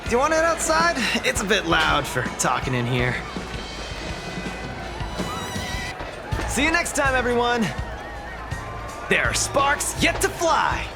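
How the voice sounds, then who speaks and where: An actor playing a breezy, boyish young fighting champion in a video game. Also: male voice, very high-pitched, wildly swooping pitch, moderate pace, crowd noise, exaggerated voice. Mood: upbeat. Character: upbeat, cocky young male fighting champion. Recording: music clearly behind.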